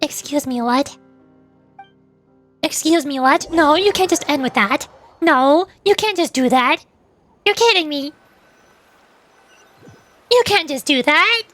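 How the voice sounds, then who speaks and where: A young woman streamer with a high, light voice chats casually with her viewers. She rambles and hesitates, filling pauses with ums and uhs and restarting her sentences as she goes. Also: expressive, fairly bright tone, soft background music. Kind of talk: casual live-stream chat.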